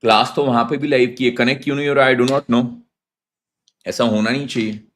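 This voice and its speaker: An accounting teacher with a hoarse, sore throat, hyping his students in a live online class and mixing English into his Hindi. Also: medium pitch, fairly even intonation, brisk, fairly bright tone. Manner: hypes students with slang, mixes English into Hindi. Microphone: phone on mobile data, live stream.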